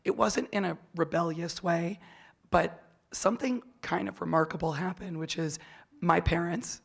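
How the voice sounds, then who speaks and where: A woman's voice: on the low side, moderate pace, gravelly. A woman speaking as a panelist at a conference, candid and personal, often restarting her sentences. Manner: candid, many restarts and fillers. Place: conference hall stage.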